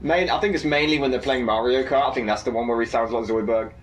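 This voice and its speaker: A man's voice: mid-range voice, fast talker, slight background noise. Man chatting casually through a film commentary. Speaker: filmmaker and actor on a cast commentary.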